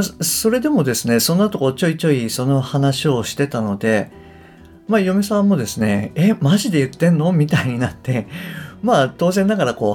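Middle aged guy chatting solo on a podcast, pitch goes up and down a lot, thinking out loud, bit of guitar music behind. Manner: reflective, tentative phrasing. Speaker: podcast host and English coach. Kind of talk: casual solo podcast talk.

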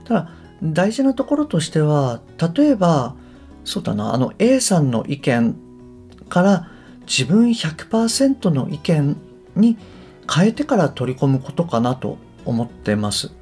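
A middle-aged man hosts a casual solo podcast in a reflective, tentative way. His pitch rises and falls in a lively pattern. Soft guitar music plays behind his voice. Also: a little slow. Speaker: podcast host and English coach.

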